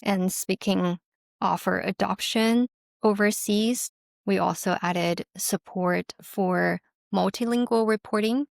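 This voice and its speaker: A woman's voice: mid-range voice, gravelly, no echo, clean studio sound. A woman speaking as an interview guest, explaining a product conversationally in an agreeable, affirming way. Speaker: company representative, interview guest.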